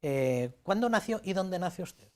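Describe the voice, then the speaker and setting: A man's voice: high-pitched, animated, normal speed, darkish tone. A man hosting a conversational interview, formally polite and attentive to his guest. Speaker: programme host and interviewer.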